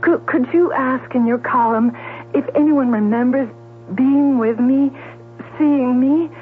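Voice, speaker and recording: An actress playing a distraught, self-loathing woman suspect in a 1970s radio drama, halting and repeating her words. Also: high-pitched, slight background noise. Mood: anxious, pleading. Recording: tinny, humming 1970s radio recording.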